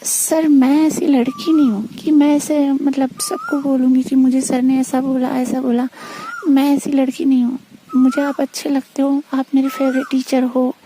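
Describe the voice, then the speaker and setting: A woman's voice: sits high, level tone, moderate pace, dark tone, a little hiss or hum. A young woman on a private phone call, speaking earnestly and politely but with insistent reproach. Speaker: student.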